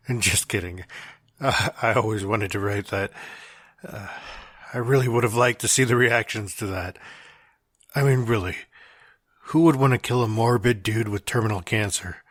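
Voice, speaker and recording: A man playing a dying man with gallows humor, reading out his farewell letter in a wry, deliberate, reflective way. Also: fairly low pitch, a bit bright, no echo, clean studio sound. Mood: wry, joking.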